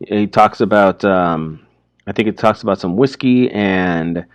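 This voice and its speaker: A man teaching through informal, explanatory commentary on a story, speaking haltingly as he thinks aloud. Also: fairly low pitch, clear, resonant tone, dry recording.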